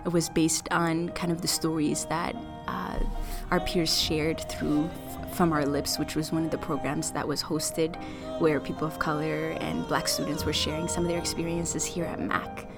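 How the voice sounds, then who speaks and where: Low-voiced woman student from Ethiopia. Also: little pitch movement, over music. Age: young adult. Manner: thoughtful, speaks with fillers and pauses. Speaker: student interviewee. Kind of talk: casual interview.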